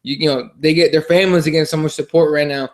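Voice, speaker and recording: A man co-hosting a casual sports talk podcast, speaking in a halting, repetitive way with loose, sweary language. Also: fairly high pitch, fairly even intonation, darkish tone. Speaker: podcast co-host.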